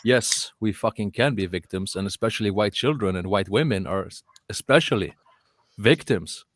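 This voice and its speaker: A man's voice: on the low side, normal speed, dry recording. Emphatic Norwegian-accented man, dry sound. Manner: emphatic, sweary, repeats phrases. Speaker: panellist in an online discussion. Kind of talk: casual political ranting.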